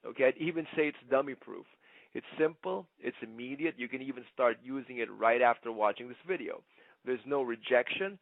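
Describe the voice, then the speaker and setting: Gravelly man teaching sales over phone-like audio. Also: medium pitch, no room sound. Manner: conversational, punctuates points with "okay?". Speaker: network marketing trainer. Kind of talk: instructional sales presentation. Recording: muffled, narrow phone-like sound.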